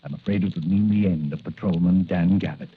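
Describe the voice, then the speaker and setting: An actor playing a worried, kindly older doctor in a measured, hesitant 1940s radio style. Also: male voice, sits low. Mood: concerned, cautioning. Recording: muffled, husky-sounding old radio recording.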